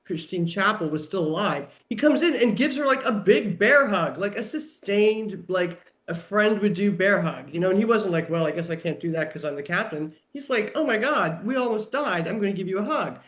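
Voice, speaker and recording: A woman's voice: medium pitch, lively intonation, normal speed, few pauses. A woman chatting casually on a podcast, enthusiastic and emphatic, with a conversational manner full of "like". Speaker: guest TV writer and producer.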